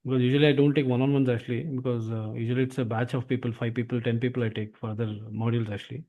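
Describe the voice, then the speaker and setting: A man's voice: medium pitch, little pitch movement, rapid-fire, narrow, tinny audio. A man with an Indian accent, a tutor chatting casually and persuasively with a prospective student, often repeating himself. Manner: repetitive, often tags sentences with "actually". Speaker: SAP course tutor.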